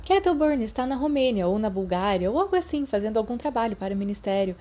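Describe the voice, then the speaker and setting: A woman's voice: on the high side, sing-song, fast talker, dark tone. A Brazilian woman voicing a chatty teenage schoolgirl, dreamy but a little disapproving, in a storybook reading. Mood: informative. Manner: sighing, matter-of-fact.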